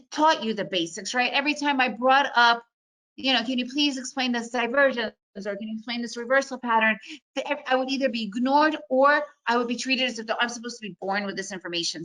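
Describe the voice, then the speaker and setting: Bright, energetic woman trading teacher. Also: a little high, poor audio. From Soviet Union, raised in the United States. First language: Russian. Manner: energetic, chatty, addresses audience as guys. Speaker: trading educator presenting a webinar. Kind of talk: casual webinar presentation.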